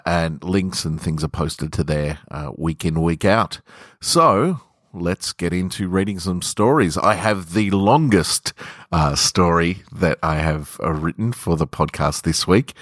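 A man's voice: deep voice, no echo, studio quality. A man hosting a podcast and reading their own short fiction. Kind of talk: casual podcast introduction, then slow narrated fiction reading.

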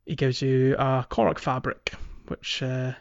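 A man co-hosting a casual gaming podcast, explaining in a halting way. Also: medium pitch, little pitch movement, creaky, muffled recording. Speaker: podcast co-host. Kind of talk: casual chat about a video game playthrough.

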